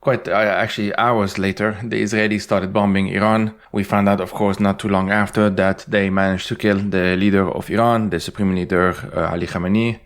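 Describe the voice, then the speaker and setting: Low, flat-voiced man from Lebanon. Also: fairly quick, few pauses. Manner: thoughtful, hedging, frequent restarts. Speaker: guest historian and essayist. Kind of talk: interview answers.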